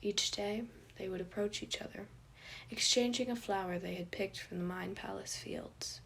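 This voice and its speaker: A woman reading scripted fiction as a narrator, with a slightly husky, breathy voice. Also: medium pitch, fairly even intonation, normal speed, a bit bright, a little hiss or hum. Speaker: podfic narrator.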